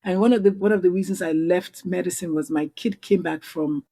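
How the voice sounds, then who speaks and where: A middle-aged woman with a Nigerian accent talks through her experiences as a guest on a podcast. Her voice is mellow and warm, and her delivery is earnest and animated, with quick restarts when she collects her thoughts. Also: fairly low pitch. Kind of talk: conversational interview.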